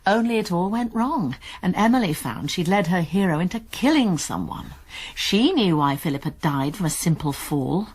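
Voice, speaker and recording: An actress playing a shrewd, composed woman amateur sleuth in a radio drama, explaining things in a measured, quick-witted way. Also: fairly low pitch, lively intonation, breathy edge.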